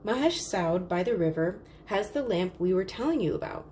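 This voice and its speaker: A woman's voice: medium pitch, lively intonation, moderate pace, a little mellow, lightly acted. A practical girl, the elder sister in a children's story, voiced by a woman reader. She explains earnestly and sensibly in a lightly acted voice. There is slight noise in the recording.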